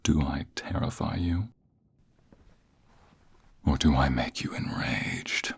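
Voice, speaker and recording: A man acts out a cocky, flirtatious office rival for an ASMR roleplay. His voice is extremely deep and very husky, often sinking toward a breathy whisper. He takes his time, drawing out each taunt slowly and deliberately. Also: very animated, heavily acted. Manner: slow, deliberate, taunting, drawn-out phrases. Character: smug suited office rival, teasing, aggressively flirting. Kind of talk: ASMR romantic roleplay, intimate second-person acting.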